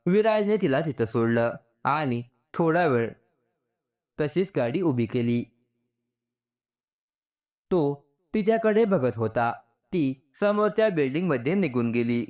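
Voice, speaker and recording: A man's voice: on the high side, expressive, slow pace, long pauses, darkish tone. A man reading a scripted story aloud as a storyteller.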